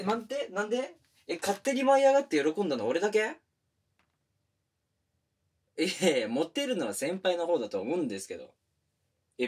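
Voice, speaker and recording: A man's voice: fairly high pitch, slightly rough. A man playing a pushy, flirtatious younger coworker with puppyish eagerness, speaking casually and intimately to the listener in a romantic audio drama. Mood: flustered, insistent. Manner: casual junior-to-senior speech, teasing and coaxing. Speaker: voice actor in a romantic situation voice drama. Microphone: close binaural studio microphone.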